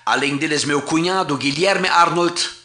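A pastor narrates a documentary history in a measured, formal reading voice. His tone is bright and forceful, pitched a little high for a man. The room adds a clear echo.

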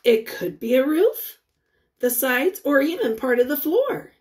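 Slow, high-pitched woman reading to children. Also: very animated. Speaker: teacher reading aloud to children. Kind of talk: picture-book read-aloud with questions for young children.

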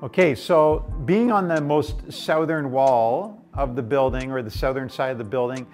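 Male presenter, forties, informal and keen explainer for a property walk-through, medium pitch, light music bed. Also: moderate pace, darkish tone. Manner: enthusiastic, explanatory. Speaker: presenter, house tour guide. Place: furnished house interiors and outdoor deck.

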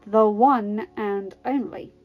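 A woman's voice: fairly high pitch, fast talker, muffled recording, natural voice. A woman voicing a sarcastic, blunt and hot-headed male superhero with emphatic delivery. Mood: confrontational. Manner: sardonic, emphatic.

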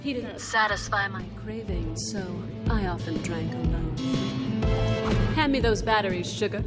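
A woman plays a sultry, scheming bar owner in a video game. She teases at a medium pitch, with music behind her. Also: normal speed, lightly acted. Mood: teasing. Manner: sultry, teasing, innuendo-laden. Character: flirtatious, scheming female bar owner and inventor. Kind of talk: video game character dialogue. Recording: music under the voice.

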